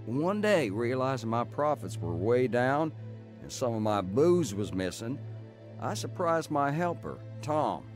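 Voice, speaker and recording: An actor playing a tough, plainspoken ex-criminal in a dramatized radio story. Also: male voice, mid-range voice, sing-song, a little slow, faint music behind. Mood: wry, matter-of-fact. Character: tough male ex-criminal recounting his bootlegging youth. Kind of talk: dramatized first-person narration and dialogue.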